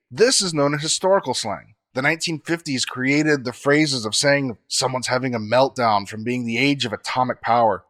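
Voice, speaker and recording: A man co-hosting a podcast, explaining facts in a measured, scripted way. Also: medium pitch, sharp tone.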